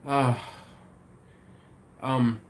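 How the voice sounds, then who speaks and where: Man, livestream host, congested, sniffly, relaxed rambling chat, faint hiss. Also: mid-range voice, very slow. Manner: easygoing, thinks aloud with many ums and restarts. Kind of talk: casual live chat reading viewer comments.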